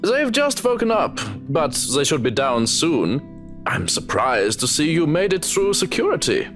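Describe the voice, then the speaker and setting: A man's voice: fairly high pitch, big pitch swings, unhurried, over music, pitched above the performer's own voice. A man voicing a curt male butler who speaks in a dry, clipped way.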